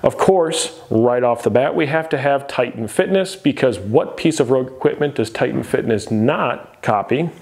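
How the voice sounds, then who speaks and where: A man's voice: medium pitch, expressive, normal speed, few pauses, a little mellow. An American man in his thirties hosting a casual product review, explaining things conversationally to camera. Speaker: host reviewing gym equipment. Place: garage gym, indoors.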